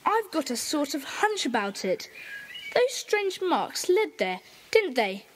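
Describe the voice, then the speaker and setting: An actor playing a brisk, commanding boy who leads a children's club in an audio drama, on a hissy tape. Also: high voice, big pitch swings, exaggerated voice. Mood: eager. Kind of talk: radio play acting.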